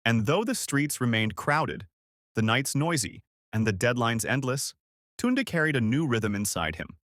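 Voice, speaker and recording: A man's voice: medium pitch, big pitch swings, a little slow, a bit bright, dry recording, clean studio sound. A man narrating a scripted story as a storyteller.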